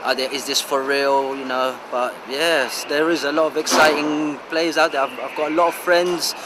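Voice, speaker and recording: An eager man in his thirties talks about football in a loose, rambling way. His voice sits a little high. He is outdoors in the city, with traffic clearly audible around him. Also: steady tone, moderate pace. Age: 30s. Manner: eager, rambling, informal speech. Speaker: football player. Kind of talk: casual interview.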